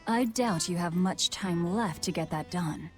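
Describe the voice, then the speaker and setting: A woman's voice: fairly low pitch, unhurried, close to an everyday voice. An actress playing a cynical, hard-edged young woman fighter in a video game, blunt and dry, with music underneath. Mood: cool, blunt. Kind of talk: English video game dub acting.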